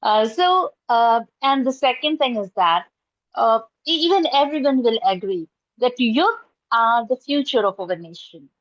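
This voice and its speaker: A middle-aged Indian woman with a fairly high voice and an Indian accent talks earnestly, full of fillers and restarts, as an interview guest. Her first language is Urdu. The video-call audio is dry and somewhat dull. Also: lively intonation, a little slow, no echo. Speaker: guest, college professor and trainer. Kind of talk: casual interview answers. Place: indoors at home, curtain behind.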